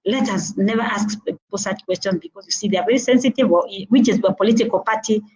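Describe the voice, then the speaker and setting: Earnest Kenyan woman, warm mellow voice. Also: mid-range voice, quick pace, low-quality recording. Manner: earnest and advising, repeating points with frequent "uh" hesitations. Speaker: motivational speaker. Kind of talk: instructive talk on networking. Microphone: video call.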